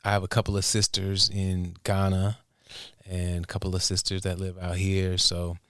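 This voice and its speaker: A man from the United States with an American accent, talking casually and haltingly as an interview guest. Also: low voice, completely flat delivery, a bit bright, dry recording, studio quality. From Portland, Oregon, United States. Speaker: interview guest, music producer and inventor. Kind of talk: casual conversational storytelling.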